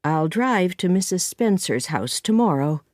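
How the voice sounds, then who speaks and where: A woman's voice: fairly low pitch, lively intonation, a little slow, darkish tone, dry recording, clean studio sound. A woman voicing a stern, practical middle-aged farm woman who speaks firmly.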